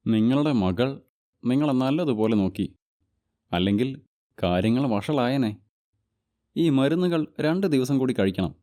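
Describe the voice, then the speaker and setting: A man voicing a calm family doctor who gives instructions in an animated story. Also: mid-range voice, unhurried, pauses often, no room sound, studio quality, close to an everyday voice. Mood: instructive.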